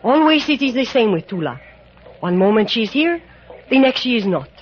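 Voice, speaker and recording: A woman's voice: fairly high pitch, very animated, moderate pace. A woman playing a grave, pessimistic guide with a formal, foreboding manner in an old radio drama. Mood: ominous. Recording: muffled, noisy vintage radio recording.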